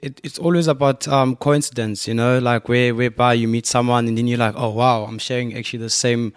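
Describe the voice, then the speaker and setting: A man from Cape Town with a South African accent, giving casual, halting interview answers as a guest artist. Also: mid-range voice, fairly even intonation, clear, resonant tone, dry recording, studio quality. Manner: halting, repeats words and starts over. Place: gallery space.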